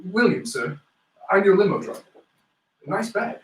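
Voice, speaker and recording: A man's voice: sits high, big pitch swings, moderate pace. A man playing a casual young limo driver in a script reading. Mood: laid-back. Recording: echoey room, distant mic.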